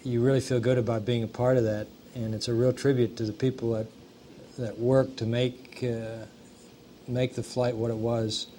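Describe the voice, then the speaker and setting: An American man, an astronaut, giving an earnest, halting answer at a news conference. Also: mid-range voice, flat delivery, dark tone. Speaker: astronaut, crew member. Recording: hissy archival 1980s press conference audio.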